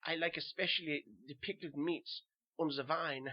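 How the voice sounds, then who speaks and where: A man's voice: fairly high pitch, steady tone, moderate pace, dull audio, voice pushed up, lightly acted. A man playing a weary, haunted German soldier who narrates a horror tale in simple short sentences, with a German accent. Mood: subdued. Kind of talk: first-person horror audio narration.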